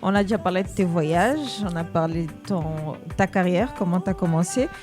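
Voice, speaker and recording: A woman's voice: lowish voice, normal speed, darkish tone, faint music behind. A woman co-hosting a radio studio interview, asking questions and mixing French with Swahili. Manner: brief acknowledgments, mixes French and Swahili. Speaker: radio co-host.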